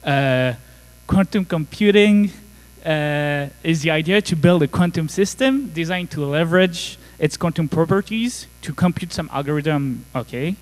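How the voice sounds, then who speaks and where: A man's voice: on the high side, expressive, measured pace. A man in his thirties from France with a French accent, giving a joking, casual conference talk full of fillers. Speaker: conference speaker. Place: conference hall.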